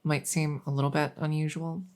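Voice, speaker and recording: A woman playing a polite, hesitant, matter-of-fact night vet in an ASMR audio roleplay, with a creaky voice. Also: low-pitched, level tone, a little fast, a little mellow, lightly acted. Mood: professional, reassuring. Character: soft-spoken, polite night vet receiving a supernatural patient.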